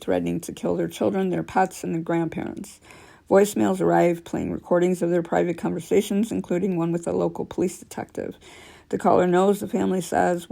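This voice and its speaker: Female storyteller, scratchy and dark-toned, reading real-life creepy tales with offhand asides. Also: on the low side, gravelly. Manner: stumbles and corrects herself while reading. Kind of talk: reading aloud with casual asides.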